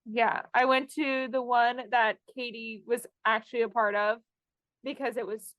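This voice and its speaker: A woman's voice: fairly high pitch, little pitch movement, moderate pace, a bit bright, no room sound. A woman hosting a podcast, telling anecdotes in a chatty, enthusiastic fan style. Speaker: podcast host. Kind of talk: casual fan chat and anecdotes.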